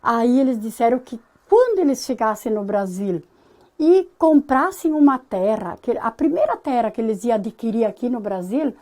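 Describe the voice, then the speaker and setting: A woman with a southern Brazilian accent tells an old family story plainly. Her voice is a little high and soft and mellow in tone. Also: lively intonation, moderate pace. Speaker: interviewee.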